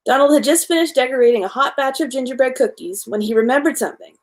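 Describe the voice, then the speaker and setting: A woman's voice: high-pitched, fast. A woman hosting a children's story time, reading a storybook aloud in an informal way with small stumbles.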